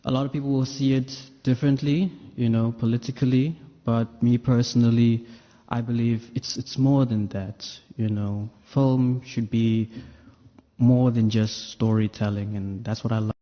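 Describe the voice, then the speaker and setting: A male actor answering press questions in a reflective, unhurried way with pauses. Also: mid-range voice, steady tone. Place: press conference room. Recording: dull sound with long hall reverb.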